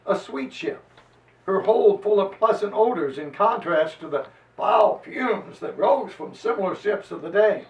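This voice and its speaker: A man giving a dramatic historical reading and lecture with theatrical, deliberate emphasis. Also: fairly high pitch, highs cut off. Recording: echoey room, distant microphone.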